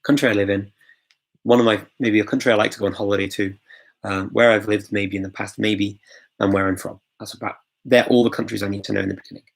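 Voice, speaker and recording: A man's voice: lowish voice, fairly even intonation, quick pace, vocal fry. A man hosting a casual live-stream Q&A, chatty and full of fillers, chuckling often and greeting viewers as they join. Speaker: host of a live language-learning Q&A. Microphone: phone.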